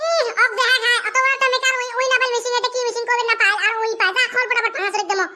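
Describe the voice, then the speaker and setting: Squeaky, fast-talking cartoon village fellow. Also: male voice, flat delivery, bright, forceful tone, a little hiss or hum, exaggerated voice. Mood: impatient, bossy. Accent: rural northern Bengali dialect. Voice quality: squeaky, pitch-shifted. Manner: exclaiming, bickering, repeating phrases. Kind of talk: comedy cartoon dub.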